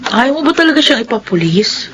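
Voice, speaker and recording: A very high voice from a soap drama , acted by a woman. The anxious young sweetheart is evasive and flustered, always placating and making excuses. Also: very animated, fairly quick, fairly bright tone, muffled recording, slight background noise. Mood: concerned. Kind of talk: audio soap drama acting.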